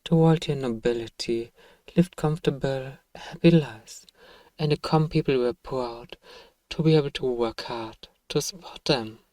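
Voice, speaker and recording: A man playing an evil emperor who is a soft-spoken, paternal and self-righteous father, speaking gently and formally in an ASMR bedtime role-play. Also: medium pitch, dry recording, close to an everyday voice. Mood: solemn, self-satisfied. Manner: gentle, measured, formal, persuasive.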